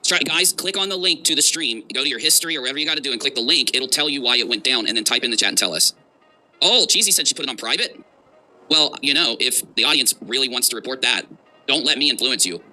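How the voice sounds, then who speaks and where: A male livestream host delivers mocking reaction commentary at a quick clip. His voice is very bright and forceful, and he leans hard on sarcasm and emphasis. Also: medium pitch, animated, soft background music, low-quality recording.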